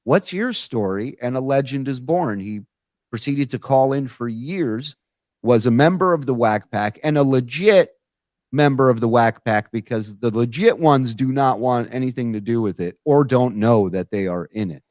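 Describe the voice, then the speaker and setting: A man's voice: mid-range voice, lively intonation, normal speed, darkish tone, no room sound, clean studio sound. A man hosting a casual talk show, telling stories in a blunt, profane way. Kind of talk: casual conversational storytelling.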